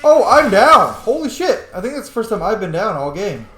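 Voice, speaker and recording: Man, higher-pitched voice, excitable and sweary, casual gameplay commentary, echoey room sound. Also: normal speed. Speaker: gaming video host.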